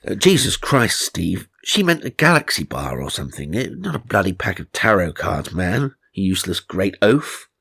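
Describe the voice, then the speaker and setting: Man playing an excitable British wife, pitched up. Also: normal speed, gravelly, few pauses, husky, no echo, exaggerated voice. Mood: exasperated. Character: excitable, scolding wife and amateur tarot reader, thirties.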